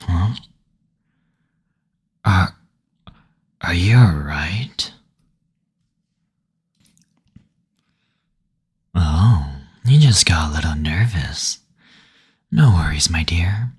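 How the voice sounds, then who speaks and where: Male demon played by a man for intimate audio roleplay: low, very breathy, unhurried, tender and soothing. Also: expressive, full character voice. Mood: tender, coaxing. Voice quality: breathy, husky. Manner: slow, soothing, tender, attentive. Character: gentle teasing male demon, brothel receptionist.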